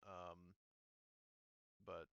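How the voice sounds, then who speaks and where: A man's voice: extremely slow, fairly bright tone, no echo, muffled recording. A man acting as game master, narrating a tabletop game casually and informally, with some swearing. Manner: informal, profane.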